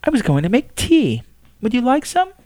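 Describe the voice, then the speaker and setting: A man voicing a deposed female religious leader who speaks in a quiet, measured way. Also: extremely high pitch, huge pitch swings, no room sound, studio quality, voice pushed up, exaggerated voice. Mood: guarded.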